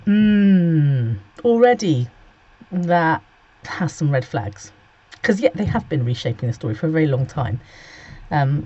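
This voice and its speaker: A man's voice: fairly high pitch, a little mellow, husky. A man in his forties hosting a casual solo monologue, sarcastic and blunt, swearing freely and a bit sniffly with a cold. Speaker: host. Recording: dull lo-fi recording.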